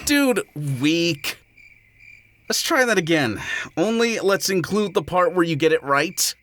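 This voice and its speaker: Man as rugged cartoon hedgehog, unhurried, animated pitch, snarky, blunt, laid-back, audio drama. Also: a little hiss or hum. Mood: cool, snarky. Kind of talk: fan audio drama acting.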